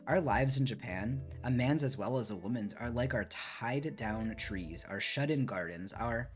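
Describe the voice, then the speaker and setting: A man's voice: medium pitch, measured pace, soft background music, lightly acted. A man reading the part of an earnest young Japanese woman speaking her mind in formal phrases from a storybook. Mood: excited, earnest. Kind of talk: dramatic storybook read-aloud.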